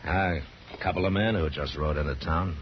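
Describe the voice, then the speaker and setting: An actor playing a calm, steady frontier marshal, terse and level-headed, in a 1950s radio Western. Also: male voice, sits low, fairly quick, slight background noise, lightly acted. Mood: calm, firm. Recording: dull vintage radio recording.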